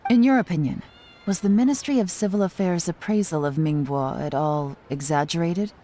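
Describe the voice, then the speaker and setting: A woman's voice: lowish voice, moderate pace, dark tone, close to an everyday voice. A woman playing a shrewd, commanding spymaster in a video game, composed and authoritative. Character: cool, shrewd, commanding adult female spymaster. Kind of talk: video game character dialogue.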